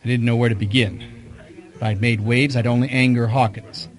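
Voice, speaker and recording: Casting: male actor as unflappable private eye, medium pitch, dry wry narrator of a radio mystery. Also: steady tone, a little fast, lightly acted. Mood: dry, narrating. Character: wry, cool-headed male private detective narrating his case. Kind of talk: first-person detective narration and dialogue in audio drama.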